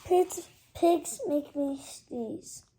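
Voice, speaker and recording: A child reading a picture book aloud, halting over the words and adding casual asides. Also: sits high, mellow, a little hiss or hum. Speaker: storybook reader.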